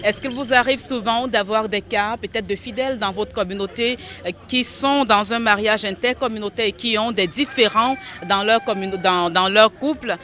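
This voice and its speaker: Male interviewer for a faith show: high pitch, crisp bright tone, measured and polite questioning.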